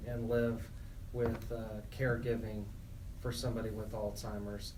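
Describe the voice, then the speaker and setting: A man of medium pitch hosts a radio interview, asking questions in halting, measured phrases. The room is echoey and he sounds a bit far from the mic, with clear background noise. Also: dark tone.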